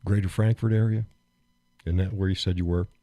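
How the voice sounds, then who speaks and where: A man hosting a live stream, chatting casually and rambling with fillers and chuckles, phlegmy and clearing his throat. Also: low voice, steady tone, brisk, warm tone, no room sound. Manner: rambling, chuckling, thinks aloud with many fillers. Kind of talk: casual chat reading viewer comments.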